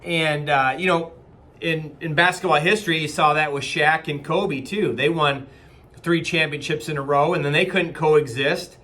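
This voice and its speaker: Bright-voiced man talking to camera. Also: a little high, fairly even intonation, moderate pace. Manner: earnest, conversational, frequent fillers and restarts. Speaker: company leader. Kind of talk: casual motivational talk to camera. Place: small office indoors. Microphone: webcam at desk distance.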